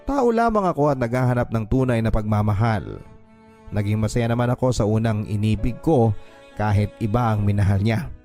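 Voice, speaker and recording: A Filipino man with a soft, mellow voice narrates a heartfelt story for radio. His delivery is measured and sentimental, set over a music bed. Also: on the low side. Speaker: radio host reading a listener's letter. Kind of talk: dramatic scripted narration of a first-person love story. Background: background music.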